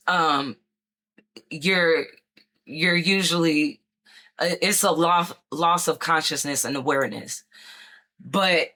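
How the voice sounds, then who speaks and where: A woman talking casually about her life, rambling with many fillers and restarts, her voice going hoarse at times. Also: on the low side, bright, forceful tone. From Chicago area, United States. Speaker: vlogger sharing her experience. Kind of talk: casual personal talk to camera.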